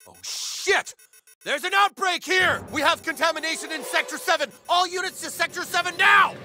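Menacing cartoon security agent, music behind. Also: male voice, very high-pitched, moderate pace, sharp tone, heavily acted. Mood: alarmed. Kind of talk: animated comedy game acting.

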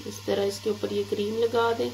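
A woman's voice: mid-range voice, flat delivery, normal speed, vocal fry, a little mellow, low-quality recording. A woman with a Pakistani Urdu accent, hosting a home cooking channel and talking viewers through a recipe step by step. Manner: step-by-step, addresses viewers directly. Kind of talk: home cooking tutorial voice-over. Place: home kitchen. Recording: hissy home recording.

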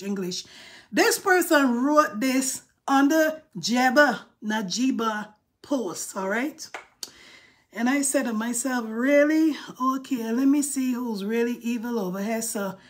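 A middle-aged woman with a Barbadian accent, chatting playfully and sassily on a phone live stream from a room indoors. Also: a little high. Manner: chatty and playful, sassy asides. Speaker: livestream host. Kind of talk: casual live-stream chat. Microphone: phone held close, selfie framing.

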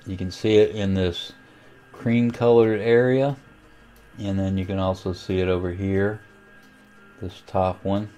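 Guy doing a casual software tutorial, pretty monotone, some music behind him, kinda thinking out loud. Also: low-pitched, measured pace, pauses often. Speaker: amateur radio tutorial host. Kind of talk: casual screen-recorded software walkthrough.

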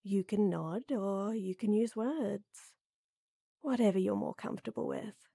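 A woman playing a tall, tender, teasing lady knight in an intimate roleplay audio, coaxing softly. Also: mid-range voice, measured pace, no room sound, clean studio sound. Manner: soft, teasing, coaxing, with breathy near-whispers.

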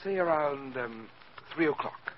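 An actor playing a genteel, scheming criminal mastermind posing as an art collector, suave and fussily courteous in 1940s radio style. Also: male voice, fairly high pitch, expressive. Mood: sly delight. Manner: suave, fussy, mock-courteous, deliberate. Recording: dull, noisy 1940s radio recording.

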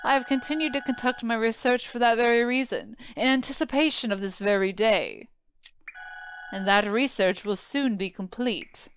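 A woman's voice: on the high side, normal speed, a little hiss or hum, natural voice, poor audio. A woman playing a proud, obsessive dead homunculus researcher in a video game, with a formal, theatrical, lecturing manner. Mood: solemn, devoted. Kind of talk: scripted video game voice acting. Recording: music behind the voice.

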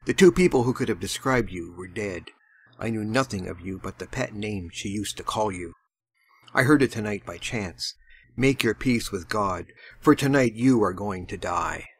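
A man's voice: fairly low pitch, normal speed, husky, rough recording. A man voicing a vengeful, thick-set sailor in an audiobook, blunt and growling with menace. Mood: menacing, angry.

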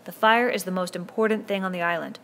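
A woman's voice: lowish voice, steady tone, quick pace, a bit bright, a little hiss or hum. A woman reading a novel aloud, voicing an earnest, commanding boy chief who pleads and insists as he lays down rules. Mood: urgent.

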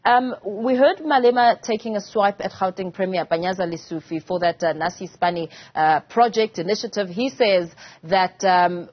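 A young woman, a news anchor asking long questions in a studio interview, pausing often on fillers. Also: medium pitch, muffled recording. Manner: hesitant, filler-heavy questioning.

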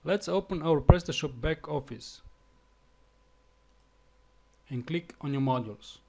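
Slovenian man giving a halting tutorial. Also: on the high side, vocal fry, darkish tone. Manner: halting, with fillers and restarts. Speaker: web developer. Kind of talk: screencast tutorial.

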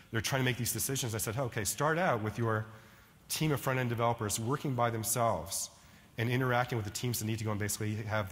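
Fast-talking man giving a keynote. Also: mid-range voice, in a large hall. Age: middle-aged. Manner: conversational, frequent "right?" and "like" fillers. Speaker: keynote speaker. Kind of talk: conference keynote talk. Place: large conference ballroom with seated audience.

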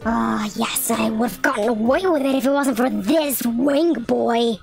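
Someone voicing a whiny, creaky injured villain. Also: medium pitch, darkish tone, faint music behind. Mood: pained, annoyed. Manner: drawn-out groaning, halting. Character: whiny injured would-be villain thief, defiant and sulky. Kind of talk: improvised video game roleplay.

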